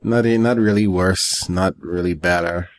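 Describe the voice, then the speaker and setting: A man in casual podcast chat, talking in a halting, thoughtful way. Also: sits low, fairly even intonation. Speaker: podcast panelist.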